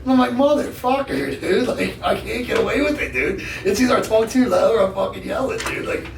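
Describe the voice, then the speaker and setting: A man in his thirties talks as a podcast guest in loose, profane slang. His voice is raspy and gravelly and pitched high for a man. It sounds echoey and a little away from the mic. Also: animated, fairly quick. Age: 30s. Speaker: podcast guest, gym enthusiast. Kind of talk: casual chat. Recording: echoey, distant mic with noise.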